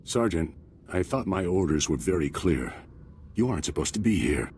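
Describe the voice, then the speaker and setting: Very deep, solemn traitor commander. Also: male voice, sing-song, a little mellow, a little hiss or hum. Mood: grave regret. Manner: solemn, measured, formal. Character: disillusioned male traitor commander, grave and honorable soldier. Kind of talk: video game cutscene acting.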